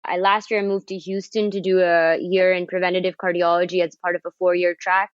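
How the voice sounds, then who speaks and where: A woman in her thirties, originally from Pakistan, talks as a cardiology fellow on a podcast. Her voice is lowish and her delivery is measured and informative. The audio is dry and slightly dull. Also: flat delivery, no echo. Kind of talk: podcast conversation, explaining a condition.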